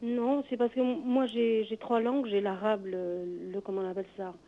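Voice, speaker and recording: Caller, woman, 50s, native Arabic speaker, halting and hesitant, tinny phone audio. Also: medium pitch, little pitch movement. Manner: hesitant, halting, many restarts. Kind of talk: hesitant personal talk.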